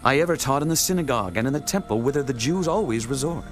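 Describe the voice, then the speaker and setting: An actor playing a calm, authoritative holy teacher, speaking solemnly and formally in a dramatized scripture production. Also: male voice, mid-range voice, fast, soft background music, lightly acted. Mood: earnest reproof.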